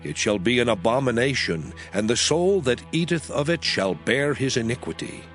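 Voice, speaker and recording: A man acting as God, solemnly declaiming with sweeping pitch. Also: animated, moderate pace, fairly bright tone, natural voice. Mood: solemn, commanding. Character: God solemnly proclaiming sacred laws to Moses. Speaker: scripture voice actor. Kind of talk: dramatized scripture reading. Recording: music under the voice. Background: background music.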